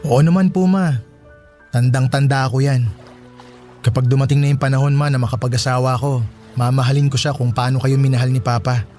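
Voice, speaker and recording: Mellow, earnest young man, played by an actor. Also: mid-range voice, steady tone, fairly quick, close to an everyday voice. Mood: earnest, hopeful. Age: young adult. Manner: earnest, respectful. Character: devoted, respectful grown son, a hopeful student. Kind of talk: Filipino radio drama acting. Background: music.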